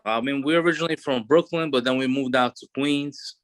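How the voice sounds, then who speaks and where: A man's voice: medium pitch, fairly quick. A man in his thirties with a New York accent, talking casually as an interview guest with lots of fillers and restarts. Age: 30s. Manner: relaxed street talk with many fillers and restarts.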